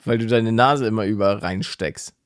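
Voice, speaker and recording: A man's voice: on the low side, a little fast, clear, resonant tone, dry recording, clean studio sound. A man co-hosting a podcast in casual, slangy chat with joking banter. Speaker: podcast co-host.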